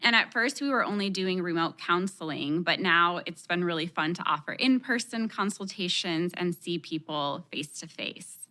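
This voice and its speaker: A woman's voice: lowish voice, normal speed, hardly any pauses, bright, forceful tone. A woman in her thirties from Texas, raised speaking Spanish first, chatting enthusiastically as a dietitian guest on a radio talk show.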